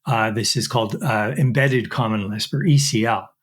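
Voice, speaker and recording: A man's voice: on the low side, normal speed. A middle-aged man, a chemistry professor, explaining technical work in an interview with frequent fillers and restarts.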